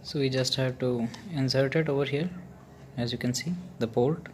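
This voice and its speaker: Indian-accented man over clear background noise. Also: mid-range voice, fairly even intonation, moderate pace. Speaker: video host, product reviewer. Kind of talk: casual unboxing narration.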